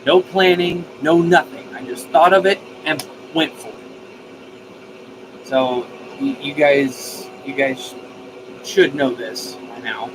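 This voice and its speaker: Male streamer, somewhat higher voice, folksy and effusive casual chat, noisy recording. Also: steady tone, slow. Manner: folksy, informal, mildly profane; enthusiastic, effusive. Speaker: live stream host of a DIY radio channel.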